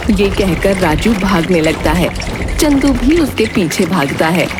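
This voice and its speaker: A woman narrating a scripted animated story. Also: lowish voice, a little slow, background noise.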